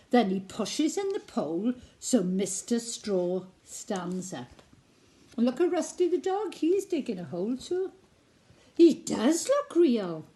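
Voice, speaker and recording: Female children's storyteller: unhurried, very expressive, sing-song read-aloud that invites small listeners in. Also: mid-range voice. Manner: animated, sing-song, prompting little listeners with questions.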